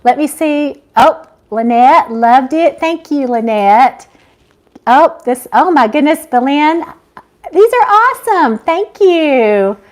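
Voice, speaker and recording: A woman's voice: high-pitched, animated, slow. A woman hosting a casual live chat as an author, effusive and grateful toward her viewers. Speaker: author and live-stream host.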